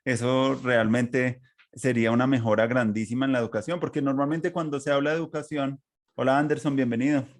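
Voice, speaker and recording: A man's voice: medium pitch, fairly even intonation, brisk. Colombian man hosting a conversational podcast. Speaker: podcast host. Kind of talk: conversational interview, reflective commentary.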